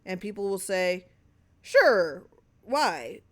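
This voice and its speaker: A woman voicing a wily, flattering old male lawyer in an audiobook story, talking in a persuasive, rhetorical way. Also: medium pitch, slow pace.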